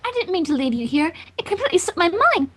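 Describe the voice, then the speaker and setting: Girl doing a super dramatic lady pony designer, really high and swoopy, cartoon fan story style. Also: big pitch swings, fast talker, a bit bright, heavily acted. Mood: apologetic. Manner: theatrical, exclaiming. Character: dramatic, fussy, guilt-ridden grown female pony fashion designer.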